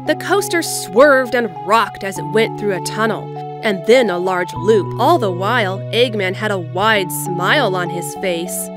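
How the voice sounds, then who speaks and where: A woman's voice: mid-range voice, animated, a little slow. A woman reading scripted story narration as the narrator of an audio drama. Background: background music.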